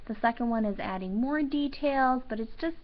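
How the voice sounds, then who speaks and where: A woman teacher reading a storybook aloud and commenting for students, with deliberate, emphatic phrasing and pauses. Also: on the high side. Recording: dull lo-fi sound.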